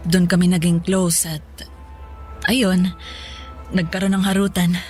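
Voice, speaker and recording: A woman's voice: fairly low pitch, flat delivery, unhurried, darkish tone, soft background music, close to an everyday voice. An actress playing a chatty young Filipino office woman, breezy and coy, mixing English into her talk in a radio drama. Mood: confessional. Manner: casual Taglish, breezy, coy.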